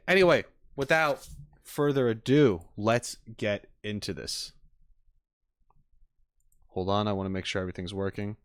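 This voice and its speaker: Animated, casual male streamer. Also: on the low side, dry recording, studio quality. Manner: animated, self-deprecating. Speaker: streamer reacting to game trailers. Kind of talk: casual rambling livestream chat.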